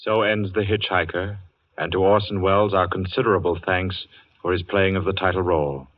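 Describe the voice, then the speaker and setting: An American man working as a 1940s network radio announcer, reading a script in a formal, measured way. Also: low voice, steady tone, a little hiss or hum. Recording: muffled 1940s radio broadcast.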